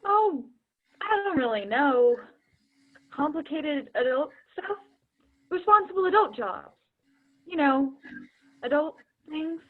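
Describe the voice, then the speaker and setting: A woman's voice: sits high, animated, takes it slowly, pauses often. A young woman acting in a play as an awkward, evasive teenage girl with a hesitant, defensive way of talking. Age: young adult. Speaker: student actor in a school play. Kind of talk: one-act play acting. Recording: tinny phone-like line.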